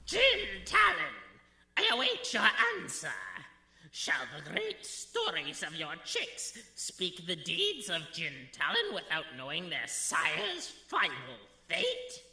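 A woman playing a menacing, scarred birdlike outlaw leader in a radio serial, commanding and declamatory with a harsh, raspy screech. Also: on the low side, huge pitch swings, takes it slowly, sharp tone, heavily acted. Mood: threatening. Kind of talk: old-time radio serial acting.

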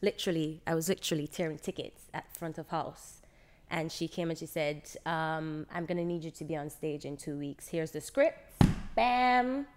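A woman's voice: deep voice, moderate pace, slight background noise. A young adult woman with a Jamaican accent, telling stories casually and acting out dialogue as she chats into a close podcast mic. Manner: animated, acts out quoted dialogue. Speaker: podcast guest, actress. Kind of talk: casual conversational storytelling. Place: open, bright living room, on a sofa. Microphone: podcast microphone on boom arm, close.

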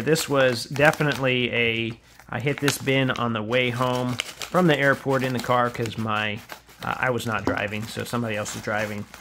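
A man's voice: mid-range voice, fairly bright tone. A man hosting in a casual, conversational way, explaining items as he shows them in an unboxing. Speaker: podcast host. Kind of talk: casual unboxing commentary on sports cards. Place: indoors at a tabletop, hands-only camera.

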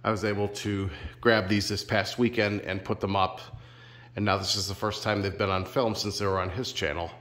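A man filming a casual home vlog in his sunroom, a little nasal and stuffed up from a sinus infection, talking in a relaxed, rambling, friendly way. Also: fairly low pitch, normal speed. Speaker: ceiling fan collector vlogger. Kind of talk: casual show-and-tell vlog.

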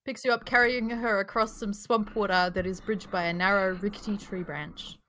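A woman's voice: medium pitch, steady tone, moderate pace, fairly bright tone, a little hiss or hum, poor audio. A woman reading stage directions as narrator of a table read on a group video call, in a dramatic storytelling manner. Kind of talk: scripted narration read aloud.

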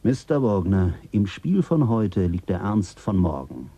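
Radio drama: reflective psychologist, deep, husky, earnest. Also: male voice, big pitch swings, unhurried, warm tone, dry recording, heavily acted. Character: calm male psychologist, reflective and principled. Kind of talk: 1970s German radio drama.

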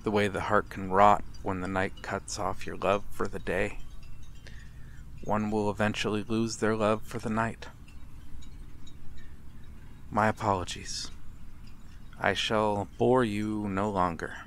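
A man playing a courteous, melancholy vampire in a dramatic ASMR roleplay, speaking formally and with measured pauses. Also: fairly low pitch, level tone, fairly bright tone. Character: courteous, melancholy male vampire, lonely and mysterious. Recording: clear background ambient noise. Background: night harbor ambience.

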